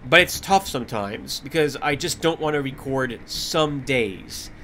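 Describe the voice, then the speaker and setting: A man hosting a gaming channel, talking casually and candidly to viewers over gameplay, stuffy with a cold, rambling with restarts and soft laughs. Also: medium pitch, expressive, unhurried, slight background noise. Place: at home in front of his computer.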